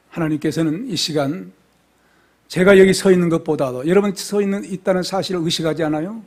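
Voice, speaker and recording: Fervent high-voiced male pastor preaching. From South Korea. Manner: fervent preaching, swings from soft near-whispers to loud exclamations. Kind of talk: expository sermon. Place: church sanctuary.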